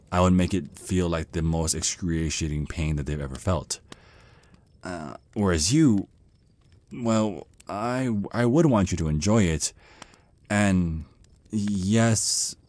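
A man's voice: sits low, slow pace, a little mellow, no echo. A man playing a shy, nervous, smitten vampire on a first date in an ASMR roleplay, stammering and hesitant. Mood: hesitant, bashful.

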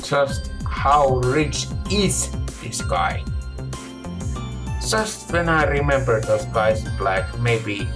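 A man's voice: mid-range voice, takes it slowly. A young man reading visual novel narration and dialogue aloud expressively, stumbling now and then, with game music behind. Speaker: let's play streamer and fan dubber.

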